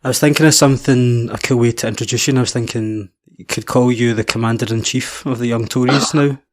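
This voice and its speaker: A man in his twenties hosting a podcast, reading a measured intro and then chatting friendly with his guest. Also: mid-range voice, steady tone. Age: 20s. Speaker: podcast host. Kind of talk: scripted intro then casual interview.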